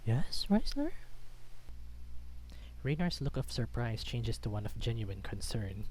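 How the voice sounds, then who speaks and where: A man's voice: fairly low pitch, moderate pace, a little mellow, a little hiss or hum. A man reading a visual novel aloud as dramatic first-person story narration.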